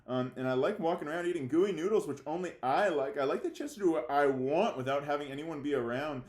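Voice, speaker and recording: A man's voice: on the high side, animated, fast talker, darkish tone, echoey room, pitched above the performer's own voice, close to an everyday voice. A man reading a children's novel aloud as an anxious, defiant young boy left home alone, confessional and emphatic. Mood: defiant, pleased. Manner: confessional first-person storytelling with emphatic, childlike phrasing.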